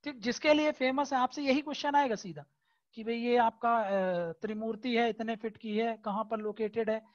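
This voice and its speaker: A man teaching an exam-prep lesson over a screen recording, explaining slowly with many hesitation fillers and constant checks that his students understand. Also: very high voice, steady tone, lo-fi sound. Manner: keeps checking understanding with tag questions.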